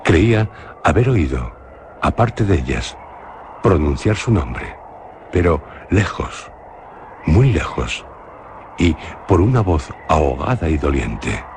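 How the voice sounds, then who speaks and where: A man with a Spanish accent narrating a suspenseful radio drama in a slow, grave, measured way. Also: very deep voice, very animated, darkish tone, slight background noise. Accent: Spain.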